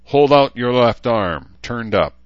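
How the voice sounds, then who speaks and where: A man's voice: medium pitch, lively intonation, takes it slowly, no echo, dull audio, pitched above the performer's own voice. A man voicing a solemn, commanding mayor and military leader in an audiobook, projecting ceremonial orders.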